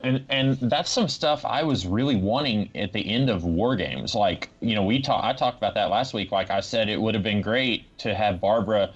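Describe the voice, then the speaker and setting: A man co-hosting a podcast, chatting casually about comics in a halting, rambling way over a lo-fi connection. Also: on the low side, husky. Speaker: podcast co-host reviewing comics. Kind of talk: casual chat, comic discussion. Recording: dull, lo-fi voice connection.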